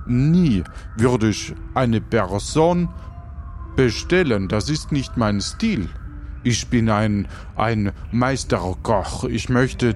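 French restaurant chef male actor, halting and polite, unhurried delivery, improvised audio drama. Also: medium pitch, a little hiss or hum. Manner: halting, searching for words, drops in French exclamations. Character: French master chef, defensive and courteous restaurant owner. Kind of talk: improvised audio adventure acting.

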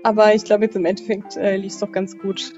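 A woman's voice: medium pitch, flat delivery, brisk, over music. A woman playing an audio role-playing game, improvising casually in a chatty, flustered way on a slightly dull recording.

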